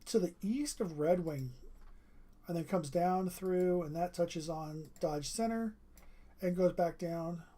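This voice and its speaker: A weather livestreamer giving steady running commentary over radar maps, with a strained voice and frequent throat clearing. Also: mid-range voice, slow.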